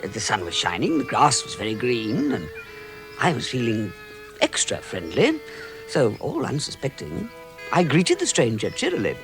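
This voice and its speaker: Fussy, animated hobbit. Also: male voice, medium pitch, moderate pace, music in the background, low-quality recording. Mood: genial. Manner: stammering, chuckling, flustered politeness. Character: fussy, genial, easily flustered male hobbit. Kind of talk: vintage British radio drama acting.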